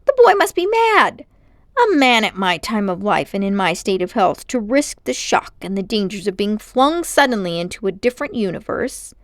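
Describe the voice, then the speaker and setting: A haughty older gentleman magician, acted out by a woman reader, vain and cruel, speaks in grandiose, indignant phrases. The storybook character sounds close and dry. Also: mid-range voice, sing-song, moderate pace, no echo. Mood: offended, flustered.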